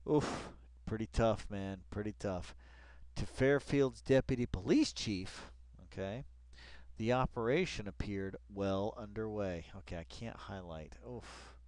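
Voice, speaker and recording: Smooth-voiced man rambling through a read-along. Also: lowish voice, a little mellow, no room sound. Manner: muttering asides, exasperated swearing, drawn-out repeated phrases. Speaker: vlogger reading a web article aloud with commentary. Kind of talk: rambling read-along commentary, casual and profane.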